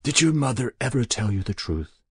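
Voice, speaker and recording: A man's voice: medium pitch, very animated, brisk, breathy edge, no room sound, studio quality, voice pushed up. A man voicing an elderly confessing woman. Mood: pained. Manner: quiet, pained, confessional.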